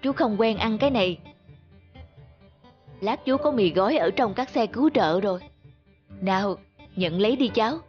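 A woman's voice: mid-range voice, lively intonation, moderate pace, long pauses, highs cut off, faint music behind, close to an everyday voice. Woman voicing a kind, reassuring man. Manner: reassuring, gentle. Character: kind, caring man helping a disaster orphan. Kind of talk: animated moral tale acting.

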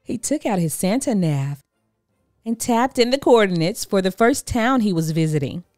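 Female picture-book narrator, animated and measured, mid pitch, soft music behind her. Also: dry recording, clean studio sound. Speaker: storybook narrator. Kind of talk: expressive children's read-aloud narration.

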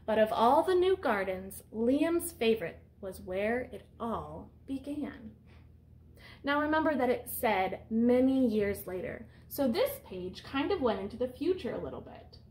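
Slow, higher-pitched woman reading to children. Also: sing-song, a little mellow. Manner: instructive, simple phrasing aimed at young children. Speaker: teacher reading aloud to children. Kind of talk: picture-book read-aloud with questions to young listeners. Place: small room indoors.

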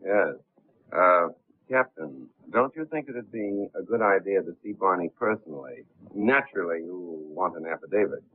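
An actor playing a suave, unflappable gentleman impostor in a 1930s film, speaking politely and smoothly. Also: deep voice, moderate pace. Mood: affable, persuasive. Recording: muffled, tinny 1930s film soundtrack.

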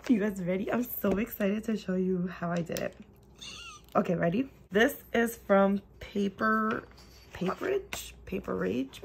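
Bubbly woman, a little hoarse. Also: medium pitch, slight background noise. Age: 30s. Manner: bubbly, gushing, repeats herself. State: slightly hoarse from a cough. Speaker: budgeting channel host. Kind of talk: casual vlog chat. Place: at home, at a table.

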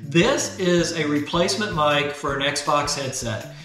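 A man's voice: a little high, measured pace, faint music behind. Chatty middle-aged man in an echoey room. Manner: relaxed, chatty, self-deprecating. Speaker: motovlog channel host. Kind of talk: casual gear tutorial. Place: indoor garage studio.

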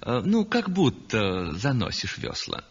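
A man's voice: mid-range voice, huge pitch swings, measured pace, a little hiss or hum. An actor playing a self-satisfied, exuberant and condescending landscape painter, theatrical and savouring his phrases, in a 1960s radio drama style. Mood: eager, bossy. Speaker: actor narrating in first person. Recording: dull vintage 1960s radio recording.

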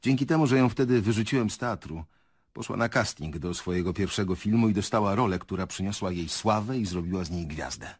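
Deep, husky older theatre director. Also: male voice, animated, a little fast, dry recording, studio quality. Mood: reflective, confessional. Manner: earnest, hesitant, reflective. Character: older male theatre director, reflective, earnest, confessional. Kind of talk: radio play acting.

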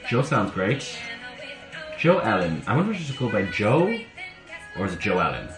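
A slightly hoarse young man chatting excitably to camera in his bedroom, talking to himself as he reacts to music. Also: lowish voice, normal speed. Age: young adult. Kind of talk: casual reaction chat. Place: bedroom at home, indoors. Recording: roomy bedroom with faint music. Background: soundtrack music playing faintly.

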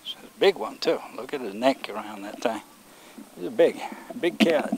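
A man's voice: mid-range voice, normal speed, slight background noise, low-quality recording. Older man vlogging outdoors, losing his voice. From Sweden. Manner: relaxed, rambling, talks to viewers while walking. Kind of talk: casual walking vlog. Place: outdoors on a rural road among rice fields. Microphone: handheld camera on a selfie stick.

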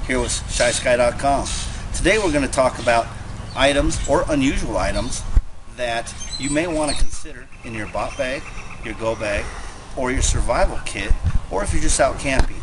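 A man's voice: medium pitch, background noise. A man hosting a survival gear how-to, talking in a plain, matter-of-fact way and sometimes stopping to correct himself. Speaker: host showing survival gear. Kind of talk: unscripted how-to talk to camera.

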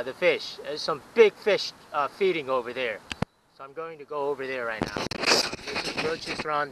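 A man narrating casually while fishing from a kayak outdoors, halting with many fillers and restarts. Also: mid-range voice. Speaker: fishing vlogger. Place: outdoors on a kayak on open water. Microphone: action camera mounted on the kayak. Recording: outdoor noise on action camera.

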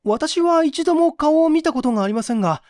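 A man voicing an upset young gentleman, a bereaved son demanding justice politely and urgently in an audiobook. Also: extremely high pitch, lively intonation, a little fast, no echo, studio quality, pitched above the performer's own voice. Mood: agitated, pleading. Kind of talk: dramatic audiobook reading.

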